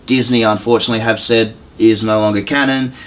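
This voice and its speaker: A man's voice: lowish voice, fairly even intonation, fairly quick. A man chatting casually as a podcast co-host, informal, slangy and opinionated.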